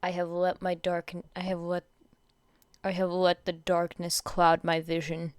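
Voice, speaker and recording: Woman doing a low, brooding, solemn teenage boy. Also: completely flat delivery, a little slow, clean tone, no echo, close to an everyday voice.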